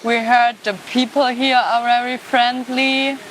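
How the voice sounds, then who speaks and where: Young woman, very slow, hesitant, faint hiss. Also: fairly high pitch, level tone, a bit bright, rough recording. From Germany. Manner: hesitant, searching for words. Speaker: interviewee.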